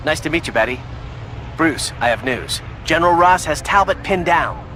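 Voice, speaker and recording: A man's voice: a little high, expressive, normal speed, bright, forceful tone, a little hiss or hum. An actor playing an alert, earnest young male sidekick in a video game, relaying things urgently.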